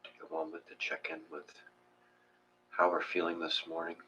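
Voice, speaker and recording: Low-voiced man leading a gentle meditation. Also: completely flat delivery, highs cut off, a little hiss or hum. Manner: measured, gentle, instructional. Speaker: guided meditation leader. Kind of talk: guided meditation, reading aloud from a book.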